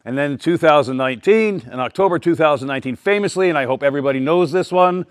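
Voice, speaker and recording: A man addressing a live town hall audience with a loud, emphatic voice, rambling and often restarting his sentences. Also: fairly high pitch, fast talker. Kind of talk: public speech to a live audience. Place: public meeting with a live audience.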